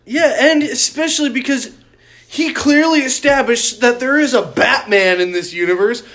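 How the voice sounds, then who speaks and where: A man's voice: very high voice, moderate pace, fairly bright tone. A man co-hosting a podcast in casual, profane, slangy banter about comics and movies. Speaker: podcast co-host.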